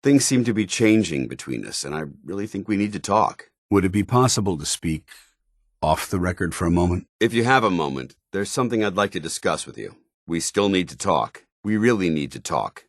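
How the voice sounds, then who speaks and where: Deep-voiced, stern military officer. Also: male voice, very animated, fairly quick, no room sound, studio quality, exaggerated voice. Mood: earnest, guarded. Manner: formal, commanding, clipped military delivery. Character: stern, duty-bound military paladin officer, grown man. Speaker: commanding officer. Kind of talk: video game companion dialogue.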